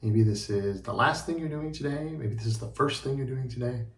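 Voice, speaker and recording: An encouraging, expressive man teaching a children's drama lesson and narrating a storybook. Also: mid-range voice, brisk.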